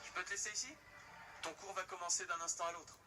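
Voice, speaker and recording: Polite, composed cartoon schoolboy. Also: male voice, high voice, fairly even intonation, fast talker, bright, forceful tone. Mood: friendly. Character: polite, composed teenage boy, student delegate in a cartoon. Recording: music behind the voice.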